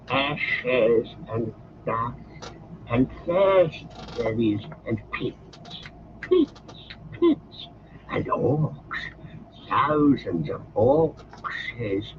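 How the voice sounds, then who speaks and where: A wretched, twitchy little creature whines and wheedles in a shrill, cracking voice, as a man puts him on. The pitch leaps wildly between squeals and low mutters. It sits on a dull, lo-fi audiobook track with steady hiss behind it. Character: wretched hissing creature, male, small, twitchy.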